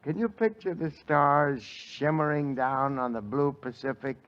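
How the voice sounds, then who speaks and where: A man playing a naive, lovestruck sidekick in 1940s radio comedy banter, delivering punchlines slowly. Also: a little high, a bit bright. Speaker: comic sidekick. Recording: dull noisy old radio broadcast.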